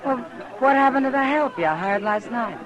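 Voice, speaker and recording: An actress playing a friendly, brisk American saloon woman in a 1950s radio western, speaking in a familiar way. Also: high voice, expressive, dull audio. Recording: old radio recording with crowd din.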